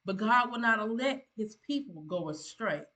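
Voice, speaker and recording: A woman's voice: a little high, lively intonation, unhurried, fairly bright tone. A woman, a content creator giving earnest motivational advice in a preachy, emphatic way, often repeating herself and restarting. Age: 50s.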